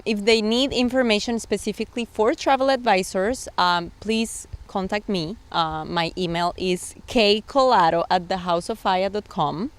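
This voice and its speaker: A woman's voice: mid-range voice, no echo. A woman giving agreeable, explanatory interview answers as a sales director, with phrasing. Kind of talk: interview answers pitching a resort.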